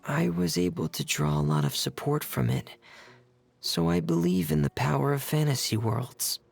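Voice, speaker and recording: An actor voicing a shy, gentle, dreamy teenage boy in a video game, speaking softly and earnestly with some hesitation. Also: mid-range voice, normal speed, vocal fry, breathy edge, faint music behind. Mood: shy, wistful. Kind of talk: video game character acting.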